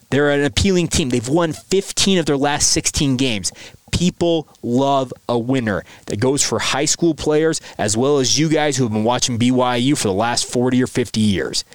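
A man's voice: mid-range voice, no echo. American man hosting a sports podcast, dry sound. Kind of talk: conversational solo sports commentary.

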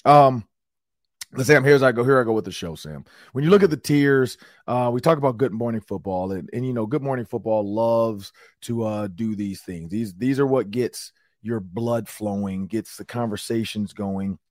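A middle-aged man hosting a sports podcast, talking casually in a rambling way, his voice a little raspy from shouting. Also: fairly low pitch, normal speed, no room sound, studio quality. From Minnesota, US. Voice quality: slightly raspy. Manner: chatty, rambling with fillers and restarts. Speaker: podcast host.

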